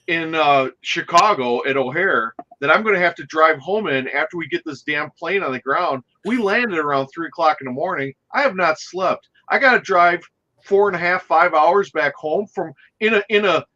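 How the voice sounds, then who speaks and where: A man with a high, bright voice tells wry, joking stories in relaxed conversation. The recording sounds slightly dull and lo-fi. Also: moderate pace. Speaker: co-host. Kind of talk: casual chat.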